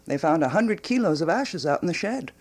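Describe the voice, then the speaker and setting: A woman's voice: fairly low pitch, sing-song, brisk, darkish tone, husky. An actress playing an exuberant, self-assured older woman intellectual and amateur sleuth in a radio drama, theatrical and teasing. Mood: keen, deliberating.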